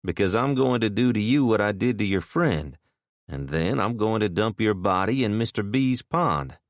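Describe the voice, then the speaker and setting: A man's voice: lowish voice, lively intonation, normal speed, no room sound, clean studio sound, voice pushed up. A man voicing a tough armed man who speaks coolly with clipped threats. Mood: menacing.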